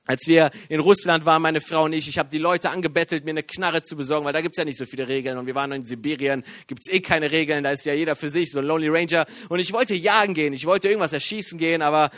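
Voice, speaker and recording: A man from Iran preaching a sermon in a lively, joking way, with many fillers and restarts. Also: fairly high pitch, quick pace, few pauses, no room sound, studio quality. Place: church.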